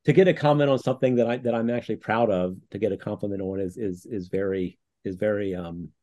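A man's voice: lowish voice, fairly even intonation, fast talker, warm tone. A man, a writer who does copywriting, chatting on a podcast in a bashful, giggly and playful way. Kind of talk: casual podcast chat.

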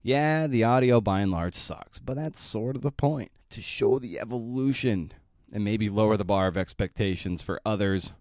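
A man's voice: fairly low pitch, a little mellow, dry recording, studio quality. A man hosting a podcast, talking informally and chattily with playful asides. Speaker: podcast host and interviewer. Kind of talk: podcast intro and casual interview.

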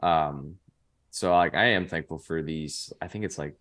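A man's voice: very deep voice, measured pace, fairly bright tone. A young man in his twenties, a podcast guest telling stories in a loose, rambling way full of "like" fillers. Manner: rambling, heavy use of "like" fillers. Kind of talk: casual chat.

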